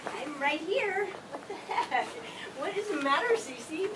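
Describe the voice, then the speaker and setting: A high female voice comes from a distance over clear noise. An actress plays a lively forty-year-old mother who teases her children in a family stage play. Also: measured pace. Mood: teasing. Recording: distant, noisy live stage recording.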